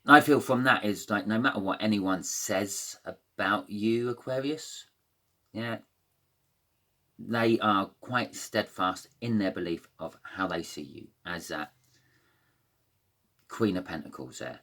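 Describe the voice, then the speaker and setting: A man with a croaky voice giving a casual, rambling tarot reading, full of fillers and restarts. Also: lowish voice, slow, bright, forceful tone. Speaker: tarot reader.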